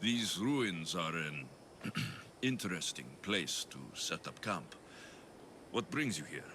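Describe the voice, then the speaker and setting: A dry, sardonic mercenary. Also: male voice, low-pitched, very animated, slow pace, background noise, exaggerated voice. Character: wry, battle-hardened male mercenary. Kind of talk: video game cutscene acting. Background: game music.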